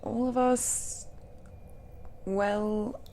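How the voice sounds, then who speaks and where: A woman's voice: medium pitch, steady tone, mellow. A woman playing an affectionate, teasing dragon-blooded girlfriend in an intimate romantic audio roleplay, speaking in a coy and playful way. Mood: proud, playful. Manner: slow, playful, giggly, coy.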